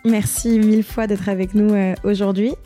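A woman's voice: mid-range voice, little pitch movement, normal speed, warm tone, soft background music. A woman hosting a podcast, introducing and interviewing a guest. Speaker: podcast host.

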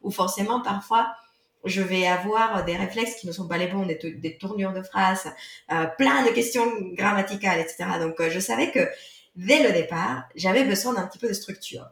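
A woman for whom French is not her first language, answering podcast questions as an author. She talks in halting phrases, often stopping and starting over. The sound carries a noticeable room echo. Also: mid-range voice. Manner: hesitant, many fillers and restarts. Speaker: guest author. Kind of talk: podcast interview.

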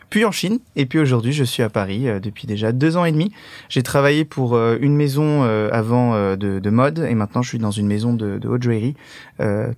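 A man's voice: medium pitch, a little mellow, no echo. A young adult man from Portugal, speaking as a podcast guest in a hesitant way with many fillers. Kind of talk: casual interview talk.

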